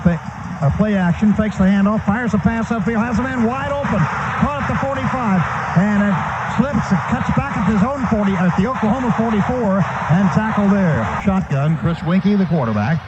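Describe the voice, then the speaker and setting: A high-voiced American man calls a football game live on the radio. He describes each movement in short, running bursts. The broadcast sounds dull and lo-fi, with clear noise behind him. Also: animated, a little fast. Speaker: play-by-play radio announcer. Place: stadium broadcast booth.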